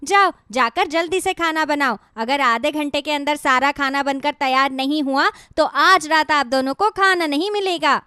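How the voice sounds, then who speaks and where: A woman's voice: high-pitched, moderate pace, few pauses, pushed and bright, no echo, clean studio sound. A woman voicing a cruel, bossy young daughter-in-law in a cartoon story, with a haughty, scolding manner. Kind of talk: Hindi cartoon moral-story dialogue.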